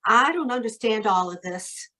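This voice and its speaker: A woman acts a flustered carnival dame in a radio noir. Her voice is high and piercingly bright. She sounds hesitant and wide-eyed with innocence. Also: expressive, moderate pace. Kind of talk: old-time radio noir acting.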